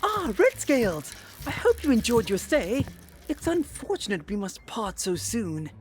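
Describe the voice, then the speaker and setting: A woman's voice: on the high side, sing-song, a little slow, soft background music. A woman playing a cheerful, guileless and slightly naive host in an audio drama. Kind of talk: animated audio drama acting.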